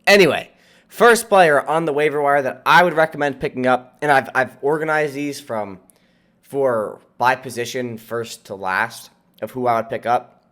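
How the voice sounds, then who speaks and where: A man's voice: mid-range voice, moderate pace, a bit bright. An American man with a nasal, congested voice, sick as he hosts, giving casual, emphatic fantasy football advice to viewers. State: sick with a cold. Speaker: host of a fantasy football channel.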